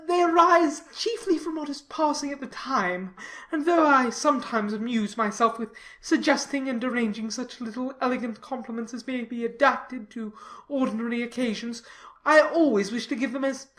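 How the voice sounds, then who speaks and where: A woman's voice: a little high, normal speed, fairly bright tone, pitched above the performer's own voice, natural voice. A woman voicing a pompous, self-important and obsequious clergyman in a dramatized classic novel, speaking in wordy, formal sentences. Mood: fawning, self-satisfied.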